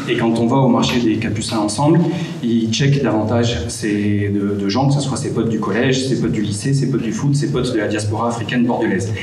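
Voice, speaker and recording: A man giving a prepared conference talk with personal anecdotes, in a measured, hesitant way with frequent pauses. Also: medium pitch, warm tone. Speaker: conference speaker from a volunteer hosting association. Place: echoing room at a public conference. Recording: roomy, distant mic, faint background noise.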